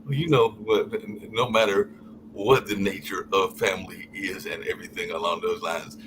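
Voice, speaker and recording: A man's voice: low voice, steady tone, moderate pace. A man on a live call-in podcast, talking in long rambling stretches and often trailing off with "along those lines". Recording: noisy stream audio.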